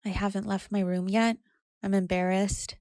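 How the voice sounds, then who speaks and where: An actress as a troubled young woman in her twenties in an audio drama. Her voice is creaky and recorded dry and close, at an ordinary pitch. She speaks candidly and quietly, as if confessing. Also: flat delivery, moderate pace, no echo, close to an everyday voice. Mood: subdued, vulnerable. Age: 20s. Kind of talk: audio drama monologue and dialogue.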